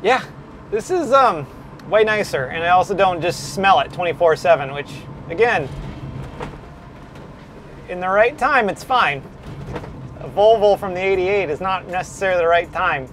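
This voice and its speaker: A man's voice: sits high, lively intonation, normal speed, long pauses, a bit bright, a little hiss or hum. A man in his thirties vlogging about an old car from behind the wheel, with dry, self-deprecating humor. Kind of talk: casual vlog commentary. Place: inside a moving car.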